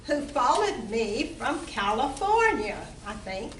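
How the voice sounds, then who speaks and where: A woman's voice: a little high, very animated, takes it slowly. A woman playing a chatty barmaid wife in an amateur radio play. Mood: welcoming. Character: chatty barmaid wife introducing her husband around. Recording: roomy, distant mic, background hiss.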